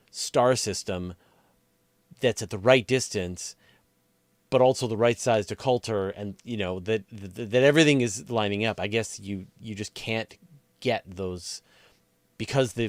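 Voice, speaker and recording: A man with a low-pitched voice speaks as an interview host in a conversational style, building up to his questions. The sound is dry, with hardly any room around it. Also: no room sound.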